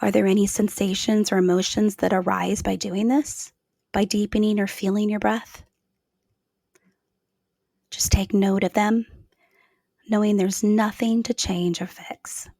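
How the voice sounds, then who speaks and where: A woman leading a guided meditation in a slow, soothing, soft-spoken way with gentle pauses. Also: mid-range voice, flat delivery, vocal fry, no echo, clean studio sound. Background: new-age music.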